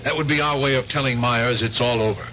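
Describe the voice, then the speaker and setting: Man acting wary calculating guy in old radio thriller, careful delivery, muffled with clear hiss. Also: mid-range voice, steady tone, fast talker, bright, forceful tone, close to an everyday voice. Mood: cautious. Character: wary, calculating male partner in a manhunt. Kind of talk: American old-time radio drama acting.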